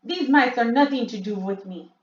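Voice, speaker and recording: A woman's voice: fairly high pitch, normal speed, reverberant, lo-fi sound, voice pushed up, lightly acted. A woman doing a bossy snapping witch queen. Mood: commanding. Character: commanding female witch leader, harsh.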